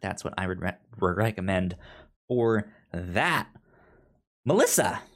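A man's voice: low-pitched, very animated, unhurried. A man hosting a podcast in casual chat, stuttering as he repeats sounds and syllables. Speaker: podcast host.

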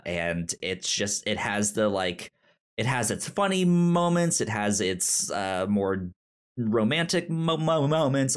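Male podcast co-host, slow and low, stuttering on syllables, easygoing casual review chat. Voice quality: frequent stutter, repeating sounds and syllables.

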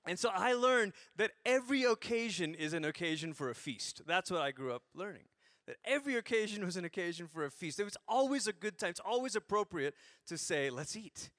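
A man in his forties from Malaysia, preaching a sermon through animated, humorous stories. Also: high-pitched, brisk, no echo, clean studio sound.

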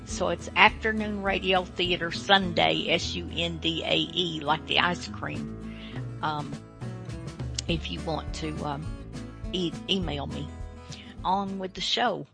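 A woman hosting a radio show casually, folksy, halting and rambling. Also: fairly low pitch, fairly even intonation, creaky, music in the background. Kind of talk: casual unscripted show introduction.

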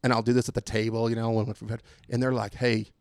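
A man's voice: medium pitch, flat delivery, fast talker, darkish tone, dry recording, clean studio sound. Jokey rambling Texan man. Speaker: podcast host. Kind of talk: casual chat. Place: home garage.

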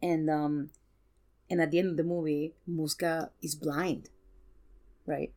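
A woman in a casual film discussion, speaking earnestly and emphatically and pausing to search for words. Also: sits low, flat delivery, warm tone. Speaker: podcast guest.

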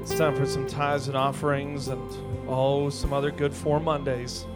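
A man's voice: medium pitch, flat delivery, normal speed, loud music behind the voice. Breezy man making church announcements. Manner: breezy, with fillers and jokes. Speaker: church host. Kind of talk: casual announcements.

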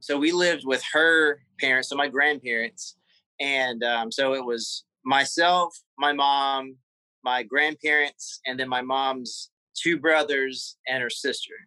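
Southern man in his thirties, bright tense voice, dry close sound, interview guest recalling his childhood. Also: mid-range voice, steady tone, clean tone, dry recording, low-quality recording. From South Carolina. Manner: halting, repeats words while recalling memories. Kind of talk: casual interview conversation.

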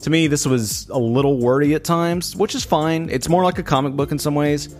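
A man's voice: a little high, a little fast, darkish tone, soft background music. A man chatting casually as he co-hosts a film review podcast. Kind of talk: casual chat.

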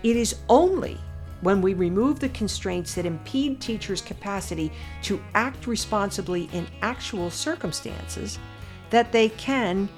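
A woman commentator reading her own essay aloud in a measured, deliberate way with emphatic pauses. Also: mid-range voice, animated, faint music behind. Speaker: podcast commentator.

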